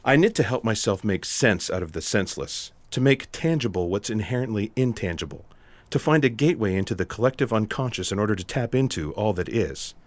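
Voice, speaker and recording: Man playing an odd but sincere secret agent, low register, deadpan, formal, deliberate phrasing, audio drama. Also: no room sound, close to an everyday voice. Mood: earnest, composed. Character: earnest, eccentric male intelligence agent who knits. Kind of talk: sci-fi audio theatre acting.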